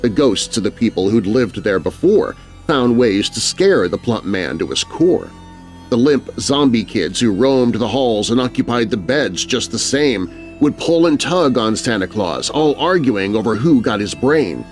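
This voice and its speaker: A man's voice: mid-range voice, sing-song, a little slow. A man narrating a spooky rhyming children's story in a theatrical, dramatic way. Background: music.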